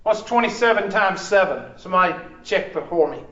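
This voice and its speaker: A man's voice: high-pitched, expressive, brisk, fairly bright tone. A man teaching a math class, working problems aloud and questioning students in a classroom. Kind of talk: classroom lesson. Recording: echoey classroom, dull far-off sound.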